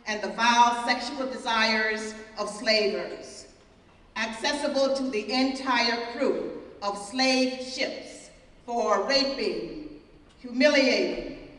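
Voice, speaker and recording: A woman delivers a dramatic monologue in a high, deliberate and emphatic voice. She is recorded at a distance in a reverberant hall, and her scripted lines carry a solemn weight. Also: fairly bright tone. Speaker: stage monologue performer. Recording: very echoey hall, distant microphone.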